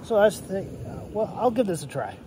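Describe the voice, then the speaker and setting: A rambling, hesitant man with a fairly high voice shows off a product casually. He is outdoors by the water, and wind noise runs clearly behind him. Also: sing-song, fairly quick. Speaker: writer and hobbyist reviewer. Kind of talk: casual product show-and-tell. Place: outdoors on a beach shore.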